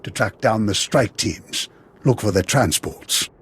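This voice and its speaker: An actor playing a dignified, earnest leader in a video game, husky and gravely formal. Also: male voice, fairly low pitch, expressive, unhurried, full character voice. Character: earnest, dignified male Republic leader giving briefings. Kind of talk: video game cutscene acting.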